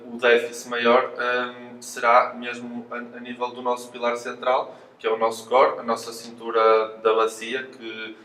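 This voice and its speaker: A man's voice: mid-range voice, a bit bright. A man with a European Portuguese accent explains things as an interviewed physiotherapist, hesitating and repeating words as he goes. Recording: roomy echo, distant mic, faint noise.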